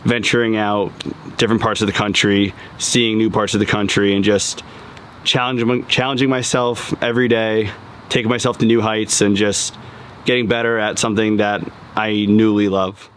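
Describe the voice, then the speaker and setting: A man's voice: on the low side, little pitch movement, moderate pace, fairly bright tone, a little hiss or hum. A young man in an interview, speaking earnestly and reflectively.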